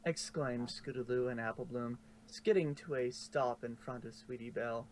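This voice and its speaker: A man's voice: medium pitch, normal speed. A man narrating fan fiction as an amateur story reader.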